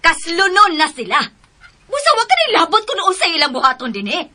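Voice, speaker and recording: A woman's voice: very high voice, very animated, a little fast, noisy background. An actress playing a stern, shrewd mother of grown sons in a radio drama, scolding and commanding.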